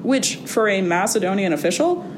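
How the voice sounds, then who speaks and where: A young woman chatting as a guest on a talk show, wry and animated, with plenty of "um"s and restarted phrases. Her voice sits at a middle pitch. A clear, low rumble of noise runs under the recording. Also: normal speed. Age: young adult. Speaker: guest, classics student. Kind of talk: casual interview conversation.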